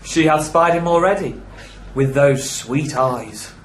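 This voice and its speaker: A man's voice: on the high side, takes it slowly, slight background noise. A man playing a mocking young lord who jeers in an amateur Shakespeare radio play. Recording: echoey room.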